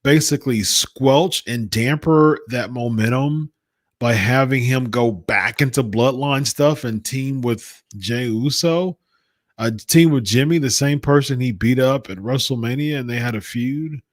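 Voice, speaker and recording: Male show host, animated delivery, dry close-mic sound, repeats himself to make a point. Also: mid-range voice, no room sound. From United States. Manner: emphatic, repeats points, exasperated. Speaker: wrestling talk show host. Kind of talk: casual live-chat commentary.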